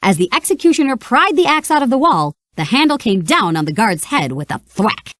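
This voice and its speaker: A woman narrating a read-along storybook in an animated, expressive way. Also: a little high, fairly quick, no echo, clean studio sound.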